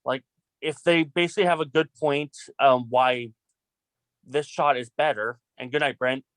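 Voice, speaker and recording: A man's voice: medium pitch, fairly even intonation, moderate pace, fairly bright tone, no room sound. Man chatting casually in a dry space. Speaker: student filmmaker in an online class meeting. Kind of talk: casual group discussion.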